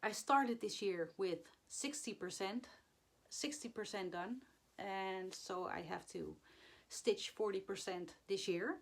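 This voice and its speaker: A woman, hoarse and still getting over the flu, chatting to camera about her stitching projects, halting often with fillers. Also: medium pitch. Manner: halting, many fillers and pauses. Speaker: flosstube vlogger. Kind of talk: casual chat to camera.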